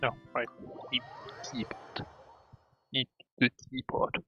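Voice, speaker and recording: Male streamer, casual offhand commentary, heavy vocal fry, muffled lo-fi sound with background music. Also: medium pitch, very animated, takes it slowly, sharp tone. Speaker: game livestreamer. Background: game music.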